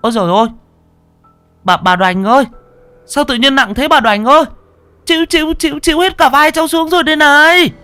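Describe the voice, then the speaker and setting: A man's voice: on the high side, steady tone, drawn-out words, soft background music, pitched above the performer's own voice. A man voicing panicked young village men who stammer, wail and complain under strain in a horror story.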